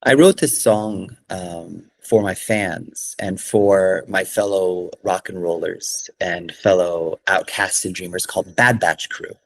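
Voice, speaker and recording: A man's voice: low voice, slow, gravelly, few pauses. A man from California, a singer-songwriter answering interview questions in an earnest way, with many fillers and restarts.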